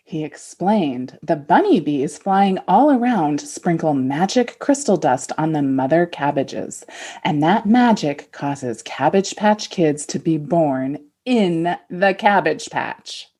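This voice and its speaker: A woman playing an earnest storybook narrator, giving a measured, dramatic fairy-tale reading. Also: low voice, expressive, dark tone, husky, full character voice. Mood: earnest, wondrous.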